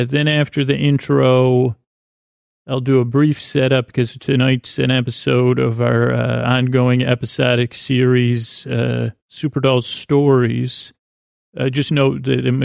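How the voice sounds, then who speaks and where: A man hosting a sleep podcast with a meandering, halting, whimsical bedtime monologue in a gravelly, creaky voice. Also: mid-range voice, darkish tone, no room sound, studio quality. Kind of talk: rambling improvised bedtime monologue.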